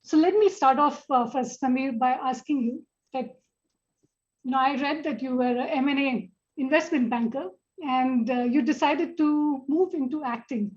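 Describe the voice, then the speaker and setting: A woman with an Indian accent moderating a panel, halting as she introduces guests and puts questions to them. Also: high voice, darkish tone, dull audio.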